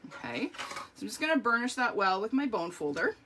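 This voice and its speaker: A middle-aged woman with a strained, hoarse voice, chatting through a live card-making tutorial with lots of ums and okays. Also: a little high, big pitch swings, fairly quick, bright, forceful tone, a little hiss or hum.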